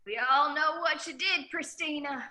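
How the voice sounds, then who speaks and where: A woman's voice: sits high, moderate pace, sharp tone, slight background noise. A woman voicing possessed townsfolk who speak in eerie, accusing voices during a table read over a video call. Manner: accusing, menacing.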